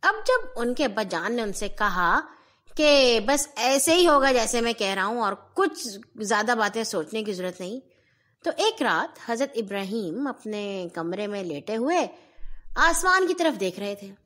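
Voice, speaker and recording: Slow, high-voiced woman storyteller for children. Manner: expressive, teacherly, addressing children directly. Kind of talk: moral storytelling for young children.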